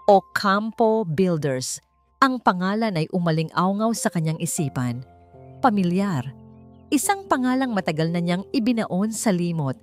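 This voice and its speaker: Low-voiced woman narrating a dramatic love story. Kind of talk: dramatic scripted romance narration.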